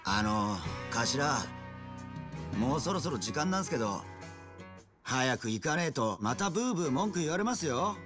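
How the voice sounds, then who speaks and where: An actor playing a deferential young gangster underling in a video game, hesitant and coaxing. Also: male voice, mid-range voice, sing-song, normal speed, music in the background, close to an everyday voice. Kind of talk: video game cutscene acting.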